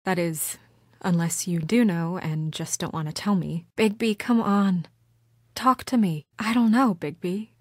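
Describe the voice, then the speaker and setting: Earnest young woman, guarded dutiful administrator, lowish pitch, dry close sound, soft-spoken, naturalistic video game drama. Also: no echo. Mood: frustrated, worried. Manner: earnest, measured, soft-spoken, often hesitant.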